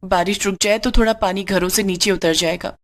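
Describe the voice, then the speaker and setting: A woman's voice: medium pitch, steady tone, fairly quick, lightly acted. Woman playing a fretful, distressed poor mother. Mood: worried. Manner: fretful, pleading. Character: distressed poor mother, worried devoted wife. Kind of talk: Hindi cartoon story character voice.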